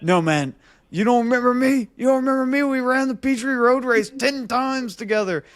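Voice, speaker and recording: A man's voice: very high voice, normal speed, fairly bright tone, no room sound, pitched above the performer's own voice. Casting: a man playing a pushy, eccentric older bar character, raised voice, insistent and pleading, for a spoken anecdote.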